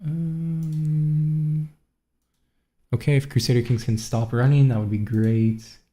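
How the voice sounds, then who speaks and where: A man's voice: medium pitch, little pitch movement, slow pace, warm tone. A man from Montreal chatting loosely with viewers on a live stream, rambling and reading out chat. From Montreal, Canada. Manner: rambling, scattered, reads chat aloud.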